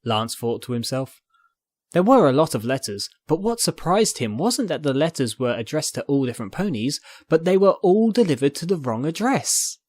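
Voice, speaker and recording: A man's voice: a little high, big pitch swings, moderate pace, darkish tone, no room sound, studio quality. A man reading fiction aloud as narrator, in scripted dramatic storytelling style. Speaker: narrator, fan fiction reader.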